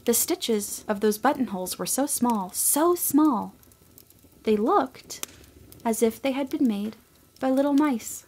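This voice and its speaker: A woman giving scripted storybook narration for a radio play, gentle and expressive, sometimes dropping to a hush. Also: on the high side, slow pace, breathy edge.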